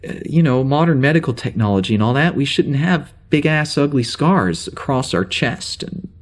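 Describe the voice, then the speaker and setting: An actor playing a troubled starship officer with amnesia, halting and rambling as he records a log in a naturalistic audio drama. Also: medium pitch, expressive, natural voice. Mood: agitated, bewildered. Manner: halting, rambling, self-interrupting.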